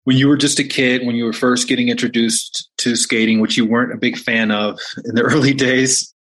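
A man's voice: medium pitch, little pitch movement, brisk, fairly bright tone, low-quality recording. A man hosting a podcast, introducing his guest with enthusiasm and then interviewing him. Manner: enthusiastic, upbeat. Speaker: podcast host.

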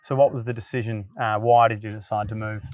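An Australian man hosting a casual interview podcast, friendly and halting, with frequent ums and uhs. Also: on the low side, steady tone, darkish tone, no room sound, studio quality. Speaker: podcast host.

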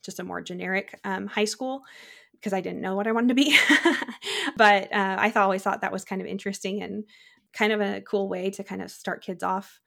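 A woman's voice: medium pitch, steady tone, brisk, vocal fry, a bit bright. A woman guest from the Czech Republic, chatting casually in a podcast interview, laughing often and trailing off mid-sentence.

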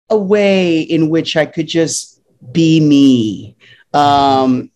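A middle-aged man from Puerto Rico speaks slowly as a guest in a relaxed interview. His talk is loose and unhurried, with frequent ums and restarts between thoughts. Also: on the high side.

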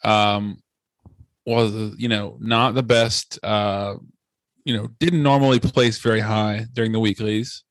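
A man's voice: fairly low pitch, steady tone, takes it slowly, no room sound. A man co-hosting a podcast, telling a story casually with a rambling, hesitant delivery. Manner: rambling, hesitant, many fillers and restarts. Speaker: podcast co-host.

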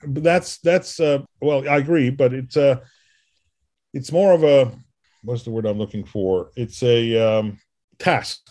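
Emphatic, deliberate male podcast interviewer. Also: mid-range voice, a little mellow. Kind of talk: conversational interview.

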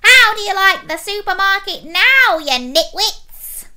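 A woman's voice: extremely high pitch, lively intonation, unhurried, pushed and bright, voice pushed up, full character voice. A woman voicing an evil, mischievous little pea, a scheming storybook villain who shrieks and taunts. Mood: cackling menace. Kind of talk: children's storybook character voice.

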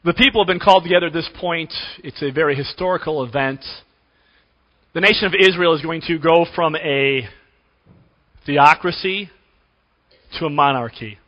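A pastor preaching a sermon to his congregation while losing his voice, often clearing his throat. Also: a little high, moderate pace, pauses often. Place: church sanctuary. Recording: dull recording in a reverberant church.

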